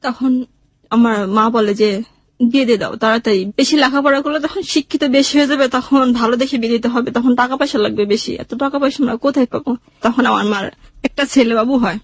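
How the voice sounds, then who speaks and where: A woman's voice: fairly high pitch, fairly even intonation, a little fast, no echo. A woman with a Bangladeshi accent telling her life story emotionally, in a plaintive way, acting out the dialogue she remembers. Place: radio studio.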